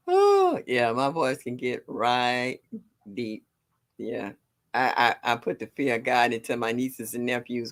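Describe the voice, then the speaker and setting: A woman in her sixties from with a deep, low voice, chatting casually on a livestream, greeting viewers and laughing often. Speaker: livestream host. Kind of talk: casual chat reading viewer comments.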